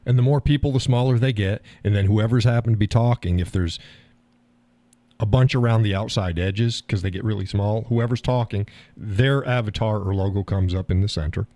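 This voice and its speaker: A man hosting a live call-in stream, talking in a calm, easygoing way and chuckling often. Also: on the low side, normal speed, no room sound. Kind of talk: casual chat.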